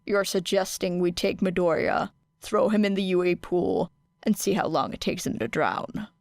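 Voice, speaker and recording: A woman voicing a sardonic teenage boy who teases in a deadpan way. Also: fairly low pitch, normal speed, vocal fry, no room sound, clean studio sound. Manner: deadpan, teasing. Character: dry sardonic teenage boy hero student. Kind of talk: audiobook character reading.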